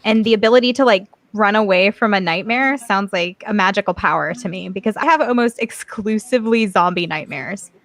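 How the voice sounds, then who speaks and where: A woman's voice: mid-range voice, brisk, hardly any pauses, a bit bright. A woman hosting a podcast, chatting casually in a thoughtful way and laughing easily. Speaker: podcast host. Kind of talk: casual chat.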